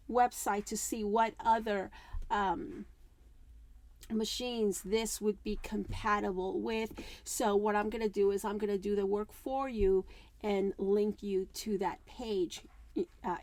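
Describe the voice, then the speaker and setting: A woman crafting vlogger going through an unboxing in a chatty way, reading instructions aloud with many fillers, her voice worn and going hoarse. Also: mid-range voice, darkish tone, dry recording. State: losing her voice. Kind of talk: casual unboxing walkthrough.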